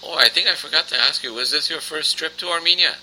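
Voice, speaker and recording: A man's voice: a little high, fast talker, bright, forceful tone, slight background noise, low-quality recording. A man hosting a radio interview, asking questions and reacting briefly. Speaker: radio host.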